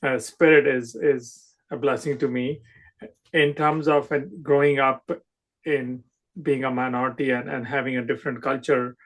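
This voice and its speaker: A man's voice: medium pitch, flat delivery, normal speed. A middle-aged man hosting a podcast, chatting casually with his guest and hesitating often with fillers and restarts. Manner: emphatic, scripted show intro. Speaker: podcast host. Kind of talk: casual interview chat.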